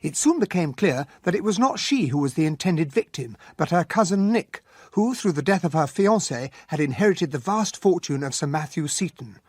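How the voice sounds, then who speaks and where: A proper English gentleman in a radio drama. His voice is high for a man and husky, with an earnest, polite, easily shocked manner. The sound is dry and close. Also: lively intonation, normal speed, few pauses, no room sound. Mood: measured narrating. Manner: polite, slightly flustered, earnest. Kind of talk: radio drama acting and narration.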